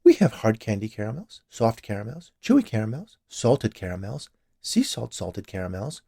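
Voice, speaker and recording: A man's voice: fairly low pitch, huge pitch swings, moderate pace, gravelly, warm tone, breathy edge, no echo, studio quality, full character voice. A man reading a story, voicing a cheerful, chatty woman who keeps a confectionery shop and naively argues. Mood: cheerful, eager. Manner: annoyingly cheerful, rattling off lists.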